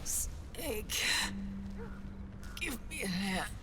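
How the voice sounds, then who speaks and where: An actress playing a wounded, determined older woman leader in a video game, halting, breathy and strained. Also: mid-range voice, very animated. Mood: strained urgency. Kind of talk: dramatic video game cutscene acting. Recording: loud noise burying the voice.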